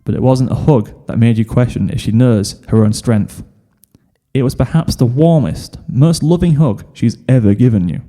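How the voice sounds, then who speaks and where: A man's voice: medium pitch, normal speed, warm tone, in a large hall. A man reading a scripted second-person story as narrator. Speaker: fan fiction reader, narrator.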